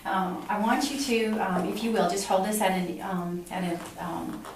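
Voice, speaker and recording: A middle-aged woman clinician explaining a physical exam step by step, in an instructional way with hesitant pauses. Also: medium pitch. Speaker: clinician examining a patient. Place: small hard-walled exam room indoors. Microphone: camera mic a few feet away. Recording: echoey room, distant mic, clear background noise.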